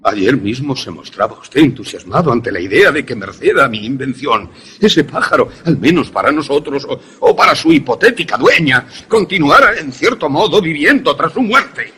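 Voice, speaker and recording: A man playing an erudite, self-assured scientist in a suspense radio drama, explaining things formally and with deliberate pauses. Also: mid-range voice, animated, bright, forceful tone, slightly rough, full character voice. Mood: pedantic, proud. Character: erudite, self-assured male scientist who creates ghost images.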